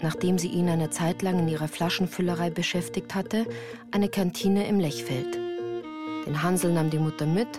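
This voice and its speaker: Woman narrating a radio drama in first person, lowish voice, music under it. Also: steady tone, normal speed.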